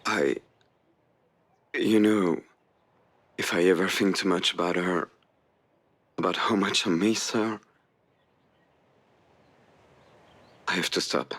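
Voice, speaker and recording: A guarded man in a visual novel drama. His voice sits low, and he talks haltingly and defensively. He is protective and self-effacing, keeping his own pain held back. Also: fairly bright tone, exaggerated voice. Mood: vulnerable. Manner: hesitant, defensive.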